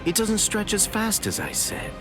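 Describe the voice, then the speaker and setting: A man playing a sly, soft-spoken young swordsman with cool menace in an anime game dub, talking in an unhurried, smooth way. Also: sits high, huge pitch swings. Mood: sly, gloating. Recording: loud music behind.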